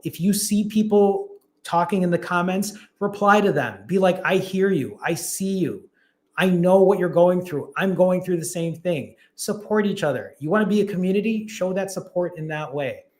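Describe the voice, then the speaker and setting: A man hosts a live stream as a fasting coach. His voice sits a little high, and he chats casually and encourages his viewers directly as he answers their comments. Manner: talks straight to viewers, upbeat and encouraging.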